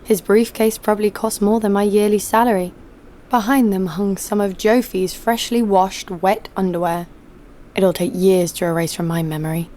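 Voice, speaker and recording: A woman playing a jaded, sardonic killer who narrates her own story in a deadpan way for an audio drama. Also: medium pitch, normal speed, slight background noise, close to an everyday voice. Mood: wry. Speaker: narrator and lead actor. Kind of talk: first-person scripted narration.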